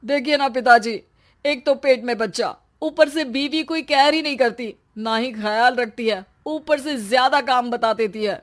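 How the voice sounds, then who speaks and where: A woman's voice: a little high, moderate pace, close to an everyday voice. A woman voicing an anxious, whiny pregnant young husband in a comic story, complaining dramatically. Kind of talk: acted dialogue in a Hindi comic story.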